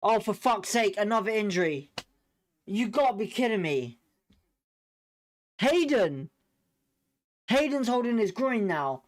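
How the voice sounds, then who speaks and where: A man's voice: high-pitched, expressive, measured pace, long pauses. Sweary British male football watchalong host. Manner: sweary, blunt, exasperated slangy delivery. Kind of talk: live match commentary, informal fan reactions.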